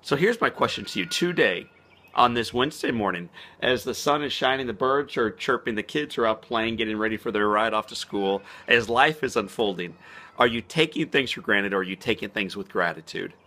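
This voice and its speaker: A man speaking as a motivational speaker in an inspirational vlog. He talks earnestly and slows down to stress key words. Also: on the low side, lively intonation, bright, forceful tone. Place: outdoors on a spring morning.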